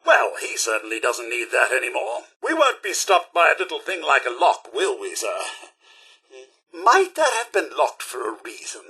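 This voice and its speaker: An actor playing a fussy, loyal robot butler in a video game, with a British accent, a slightly raspy voice and a prim, polite, theatrical delivery. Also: male voice, fairly high pitch, wildly swooping pitch, moderate pace, pushed and bright, full character voice. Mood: dryly teasing. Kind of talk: video game companion voice lines.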